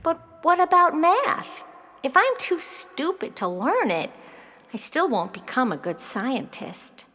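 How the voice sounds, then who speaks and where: Smart little girl about nine, kids audio play, really high n bouncy pitch, eager and earnest. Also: takes it slowly, full character voice. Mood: doubtful. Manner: excitable, earnest, articulate. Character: bright, eager, precocious nine-year-old girl stargazer. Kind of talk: children's audio play acting.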